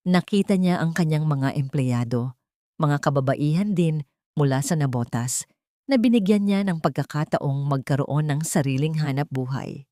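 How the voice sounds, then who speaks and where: A woman narrates a scripted romantic drama as an audiobook. Her voice sits low for a woman. The sound is close and dry, with no room echo. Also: moderate pace, dark tone.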